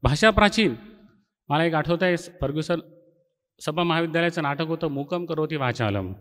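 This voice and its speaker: A man's voice: a little high, expressive, moderate pace, fairly bright tone. A middle-aged man gives a ceremonial speech as a college teacher, reminiscing and explaining in an oratorical way. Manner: explanatory, poses rhetorical questions and answers them. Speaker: college faculty member giving an inaugural speech. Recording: long hall reverb.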